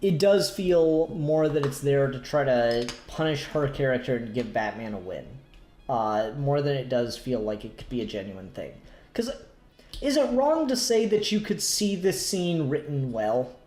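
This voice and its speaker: A man hosting a casual review discussion, speaking haltingly and searching for words as he works through his points. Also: mid-range voice, expressive, darkish tone. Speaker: podcast host discussing comics. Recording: roomy echo, faint music behind.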